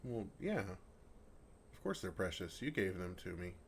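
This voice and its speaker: A man's voice: deep voice, big pitch swings, measured pace, creaky, a little hiss or hum, exaggerated voice. A man playing a friendly, naive male dragon who talks earnestly and playfully to a human in an ASMR roleplay. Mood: delighted, fond. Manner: earnest, playful, puzzled, soft chuckles. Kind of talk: ASMR roleplay, intimate one-sided dialogue.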